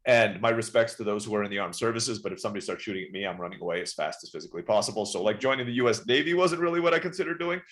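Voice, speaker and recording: A man in his forties, born in the Philippines and raised in Turkey, chatting quickly as a podcast guest telling his life story. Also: fairly low pitch, animated, fairly bright tone. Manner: rapid, chatty, often saying "right?". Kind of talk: casual interview storytelling.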